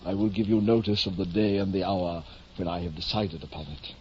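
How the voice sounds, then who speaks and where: An actor playing a delusional, grandiose gentleman knight near fifty, speaking lofty archaic English in a 1950s radio drama. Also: sits low, fairly even intonation, a little fast, husky. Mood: pompous, planning. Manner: lofty, archaic, pompous speech. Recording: muffled vintage 1950 radio broadcast.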